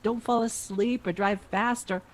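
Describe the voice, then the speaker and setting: A woman's voice: mid-range voice, fairly even intonation, unhurried, slight background noise, close to an everyday voice. A woman playing a doting, worried mother of a grown son in a radio play, fretful and pleading.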